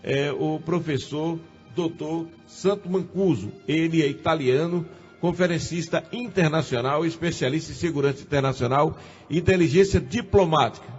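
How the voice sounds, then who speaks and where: A man's voice: on the high side, normal speed, slightly rough. A man hosting a local radio show, introducing his guest with talkative, filler-laden phrasing. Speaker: radio host. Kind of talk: radio interview. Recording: muffled radio with faint music.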